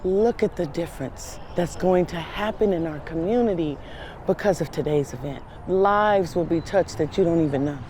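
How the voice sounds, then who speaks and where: A man's voice: fairly high pitch, moderate pace, a little mellow, a little hiss or hum. A man speaking enthusiastically in an outdoor interview. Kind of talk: interview answers.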